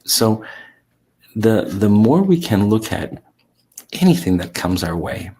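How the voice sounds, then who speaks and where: A man with a gravelly, congested voice, talking as a sleep coach in a relaxed, reflective way with fillers and restarts. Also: lowish voice, slow. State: sick, congested with sore throat. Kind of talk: solo talk to camera.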